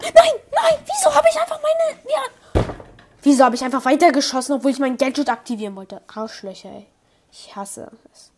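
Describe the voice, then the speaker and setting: A young woman commenting on her own online match, excitable, swinging from low muttering to high squeals. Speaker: gamer streamer. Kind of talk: live gameplay commentary.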